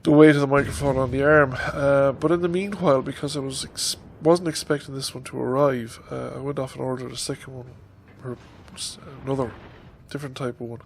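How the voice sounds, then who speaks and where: A man's voice: medium pitch, fairly even intonation, brisk, vocal fry, hardly any pauses, a little hiss or hum. A man in his fifties with a stuffy nose from a head cold, rambling hesitantly with many ums in a casual vlog update at his desk microphone. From Ireland. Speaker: YouTuber. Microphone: desk microphone on a stand.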